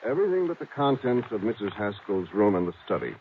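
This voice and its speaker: An actor playing a grumbling, fretful American insurance executive in an old radio drama, talking bluntly and conversationally. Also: male voice, fairly low pitch, very animated, quick pace. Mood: explanatory. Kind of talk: 1950s radio acting. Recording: narrow old radio, faint noise.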